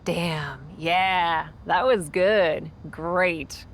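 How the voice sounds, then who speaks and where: Young female wrestler, slightly low voice, cocky, sarcastic, game dialogue, faint noise. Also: very slow. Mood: wry, casual. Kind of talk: video game cutscene acting.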